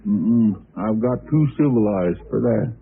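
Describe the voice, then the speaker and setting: A man's voice: on the low side, lively intonation, measured pace, vocal fry, husky, heavily acted. A man playing a folksy, easygoing marshal's helper with a rural American drawl, in an old radio western. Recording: muffled, noisy old radio transcription.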